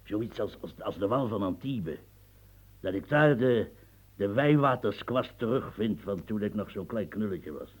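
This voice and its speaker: An actor in a radio play as a grumbling old French marquis and former soldier, husky, stammering and repeating himself as he muses. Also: fairly low pitch, animated, unhurried. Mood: wistful, musing. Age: older. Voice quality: gravelly, husky. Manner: stammering, repetitive, musing, earthy, prods with "huh". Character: rambling, grumbling old French marquis, retired military officer.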